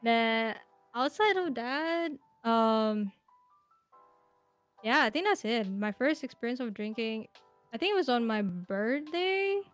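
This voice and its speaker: A woman's voice: a little high, lively intonation, slow, soft background music. A woman in her twenties, a podcast guest chatting casually, telling rambling stories with lots of fillers and giggles. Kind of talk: casual interview chat.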